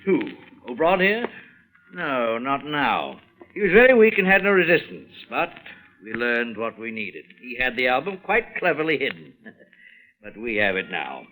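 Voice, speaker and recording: A man's voice: medium pitch, sing-song, moderate pace, bright, forceful tone, low-quality recording. A man playing a suave, wealthy villain in an old-time radio suspense drama, pompous and mockingly polite. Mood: smug, gloating. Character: suave, wealthy male villain, smug, scornful, imperious. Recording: vintage radio broadcast sound.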